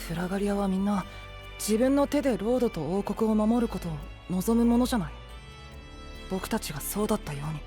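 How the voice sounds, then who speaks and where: An actor playing a soft, boyish, sensitive young knight in an anime audio drama, with an earnest delivery. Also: male voice, sits high, expressive, fairly quick, soft background music. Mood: doubtful, earnest.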